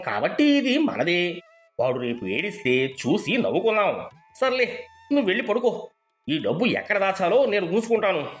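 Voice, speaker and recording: Man acting a sly, animated rich landowner father. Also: sits high, echoey room. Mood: gloating. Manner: gloating, expressive. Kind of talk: dramatised moral story dialogue.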